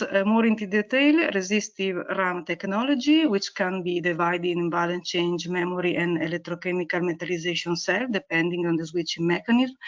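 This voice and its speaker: A woman researcher who is not a native English speaker, presenting a technical webinar lecture with slides in a halting, hesitant way. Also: medium pitch. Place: online webinar. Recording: dull, muffled webinar audio.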